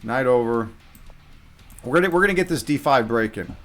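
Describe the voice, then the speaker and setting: Male game streamer, medium pitch, casual think-aloud commentary with muttered fragments, music playing behind. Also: expressive, a little fast, a bit bright. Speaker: live chess streamer.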